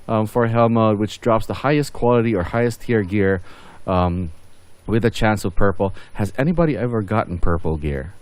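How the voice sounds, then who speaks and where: A man with a fairly low voice gives casual commentary over a video game. He hosts in an unscripted way, rambling a little and thinking aloud as he plays. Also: darkish tone, no room sound. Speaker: gaming video host.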